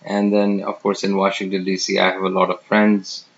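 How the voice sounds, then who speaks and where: Man from India, fifties, lowish voice, halting with ums and uhs, muffled lo-fi sound. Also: monotone. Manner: unhurried, many fillers and pauses. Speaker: real estate professional. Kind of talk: self-introduction monologue. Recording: dull lo-fi sound.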